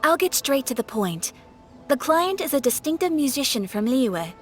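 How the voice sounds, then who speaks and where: A woman's voice: sits high, sing-song, moderate pace, faint music behind. A woman voicing a polite, businesslike young female guild receptionist in a video game, composed and matter-of-fact. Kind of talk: animated video game voice acting.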